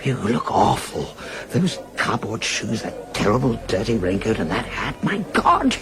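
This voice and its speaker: Wildly animated, sardonic army captain. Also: male voice, fairly low pitch, measured pace. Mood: gleeful, mocking. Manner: sardonic, blustering. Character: brash, sardonic army captain and party fixer. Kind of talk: radio drama dialogue.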